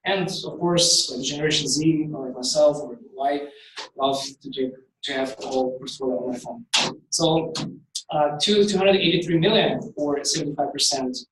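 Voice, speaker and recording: A man in his thirties from Ukraine, with a Ukrainian accent, giving a startup pitch in halting English full of ums and likes. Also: a bit bright, a little hiss or hum. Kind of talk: live pitch presentation. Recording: very echoey hall, distant from the mic.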